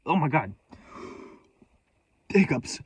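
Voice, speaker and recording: A man's voice: a little high, sing-song, slow, slightly rough, dry recording. Man ranting in a dry close recording. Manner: profane, scattered, many restarts; self-aware, comments on own spiraling. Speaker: vlogger. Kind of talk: rambling storytime rant.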